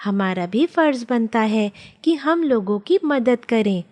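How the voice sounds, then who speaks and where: A woman's voice: on the high side, expressive, a little slow, a little mellow. A woman voicing a kind, worried wife with a conscience in a cartoon story, earnest and admonishing. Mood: reproachful. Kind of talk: children's cartoon story dialogue.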